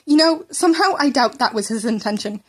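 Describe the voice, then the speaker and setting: Woman as confused adult superhero man in audio story, high voice, hesitant, worried. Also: lively intonation, brisk, breathy edge. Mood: baffled. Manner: hesitant, baffled.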